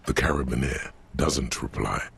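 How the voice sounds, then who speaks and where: An actor voicing a solemn, all-knowing narrator in a detective video game, grave and measured. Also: male voice, a bass voice, creaky, a bit bright, breathy edge, exaggerated voice.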